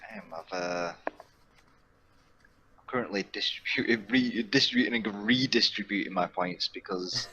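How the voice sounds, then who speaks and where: Bright, lowish-voiced man chatting over a game. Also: a little slow, long pauses, lo-fi sound. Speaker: player in a tabletop game. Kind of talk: casual chat. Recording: faint background noise.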